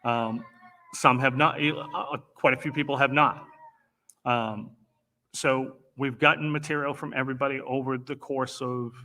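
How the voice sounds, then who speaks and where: A man chairing a school committee meeting with a bright, sharp tone. He speaks haltingly and often pauses on fillers. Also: mid-range voice, steady tone.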